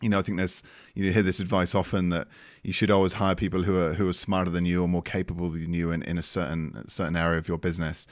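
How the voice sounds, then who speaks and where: A man hosting an interview in a conversational style, forming his questions and comments deliberately with repeated words. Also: sits low, little pitch movement, no echo, studio quality. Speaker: interviewer, host.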